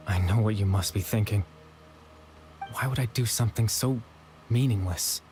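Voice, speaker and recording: Soft, melancholy young man, lowish voice. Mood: pained longing. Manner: soft-spoken, hesitant, wistful, apologetic. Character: gentle, melancholy young man who died as a child. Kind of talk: dramatic video game voice acting. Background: game music.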